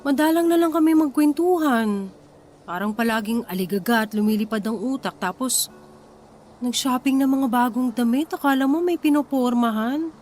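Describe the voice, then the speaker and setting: A woman's voice: high-pitched, moderate pace, darkish tone, faint music behind, close to an everyday voice. An actress playing an anxious, suspicious pregnant wife who downplays her worries in a Filipino radio drama, with a casual, emotional delivery. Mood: anxious, doubtful. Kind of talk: Tagalog radio drama acting.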